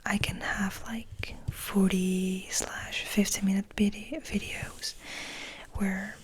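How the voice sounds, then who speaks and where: A woman ASMR host speaking and reading in a gentle, breathy whisper. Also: mid-range voice, flat delivery, slow pace. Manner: gentle, soft-spoken.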